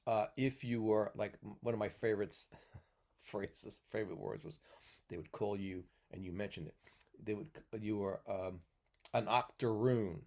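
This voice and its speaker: A man from New York with a low voice holds forth in a relaxed podcast conversation. He explains at length like a teacher and keeps tagging his points with "okay?". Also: normal speed. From New York, US Northeast. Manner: lecturing, tags points with "okay?". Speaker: podcast guest, mentor. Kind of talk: casual chat.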